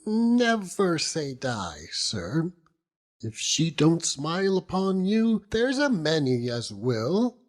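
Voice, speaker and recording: A man's voice: on the high side, wildly swooping pitch, slow, voice pushed up, full character voice. A man voicing a nosy, motherly middle-aged housekeeper in an audiobook, with gushing, folksy, uneducated speech. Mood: knowing, encouraging. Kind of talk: dramatised audiobook character reading.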